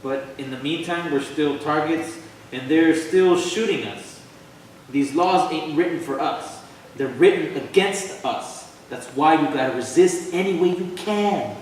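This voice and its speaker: A man's voice: on the high side, unhurried, natural voice. A man playing a heated young street graffiti artist in a staged reading, with slangy, stumbling, emphatic speech, recorded from afar in a hall. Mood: bitter, defiant. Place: theater stage at a live reading. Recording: echoey hall from far, faint noise.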